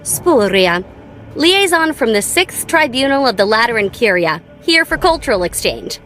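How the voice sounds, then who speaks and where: A woman's voice: fairly high pitch, big pitch swings, normal speed, a bit bright. An American actress voicing a playful, nonchalant young female gun-tech tinkerer in a video game, with a light, girlish, teasing delivery. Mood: matter-of-fact. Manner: teasing, breezy, casual. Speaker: voice actor for a video game character. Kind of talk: scripted game character voice lines. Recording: studio voice over background game music. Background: background music.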